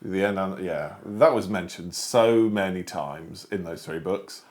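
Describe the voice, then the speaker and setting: A man co-hosting a casual book discussion, talking in an animated, jokey way and acting out imagined lines. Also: low-pitched, unhurried. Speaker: show co-host. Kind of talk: casual chat about books.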